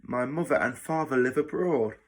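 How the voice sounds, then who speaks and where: A man's voice: medium pitch, moderate pace, natural voice. A man voicing a polite, hesitant boarding-school boy in a children's story, with a pleading manner. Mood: hesitant, explaining.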